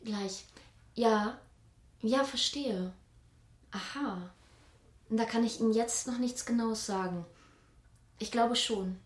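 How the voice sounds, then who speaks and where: A cheeky girlfriend, slightly high voice, roomy sound. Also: slow pace, long pauses. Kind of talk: radio play acting.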